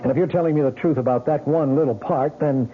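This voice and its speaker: A man's voice: on the high side, slight background noise, close to an everyday voice. An American man playing a probing, skeptical police lieutenant in a vintage radio drama, questioning insistently. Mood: doubting, reasoning. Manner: questioning, insistent, halting when reasoning. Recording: tinny band-limited old radio recording, music.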